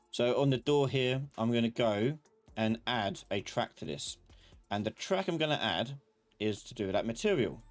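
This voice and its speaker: Low-pitched man teaching live, thinking aloud. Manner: informal, thinking aloud, talking to himself. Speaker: instructor of a live online class. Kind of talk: live software tutorial, explaining while working.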